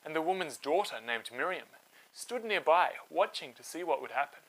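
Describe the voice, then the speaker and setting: A man hosting and narrating a scripted story for children, with dramatic, clearly phrased delivery. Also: on the high side, lively intonation, moderate pace, poor audio. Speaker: storyteller, host.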